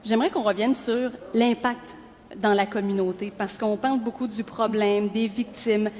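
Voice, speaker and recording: A woman moderating a public panel forum at a college, polite and brisk. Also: mid-range voice, steady tone, a little mellow. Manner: brisk, polite, fills in with short acknowledgements. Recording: reverberant hall, faint room noise.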